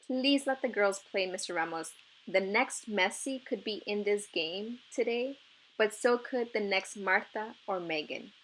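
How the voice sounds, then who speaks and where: A woman's voice: medium pitch, measured pace, a bit bright, slight background noise, lightly acted, low-quality recording. A woman voicing a polite, persuasive seventh-grade girl in a children's storybook. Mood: earnest, persuasive. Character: Maritza, earnest, diplomatic seventh-grade soccer girl.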